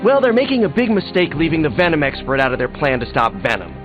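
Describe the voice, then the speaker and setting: An actor playing an earnest, anxious young superhero in a video game, talking in a worried, conversational way. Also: male voice, a little high, sing-song, fast talker. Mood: frustrated, worried. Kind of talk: video game character dialogue. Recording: narrow phone-like game audio, faint music.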